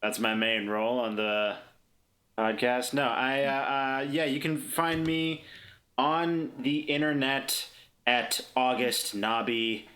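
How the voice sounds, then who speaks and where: A man with a bright, sharp-edged voice of middling pitch talks slowly and haltingly. He chats casually as a podcast player, a little self-deprecating. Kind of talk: casual chat.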